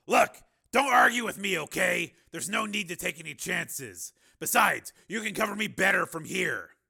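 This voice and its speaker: A man voicing Steele, a tough, impatient armed fighter who talks in forceful, clipped commands in an audiobook. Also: sits high, expressive, normal speed, creaky, breathy edge, pitched above the performer's own voice, full character voice. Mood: brisk, confident.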